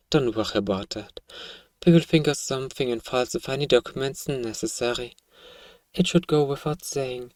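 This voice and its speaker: A performer voicing an alien researcher in an ASMR roleplay, speaking in formal, measured scientific phrasing with a coaxing, baffled manner. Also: mid-range voice, dark tone, no echo, far from the mic, natural voice. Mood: bargaining, earnest. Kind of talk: ASMR audio roleplay monologue.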